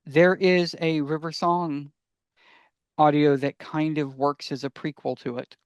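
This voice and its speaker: A man's voice: fairly high pitch, flat delivery, unhurried, clear, resonant tone, no room sound, highs cut off. A man co-hosting a podcast in casual talk, eagerly explaining his recommendations and answering his own questions. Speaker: podcast co-host.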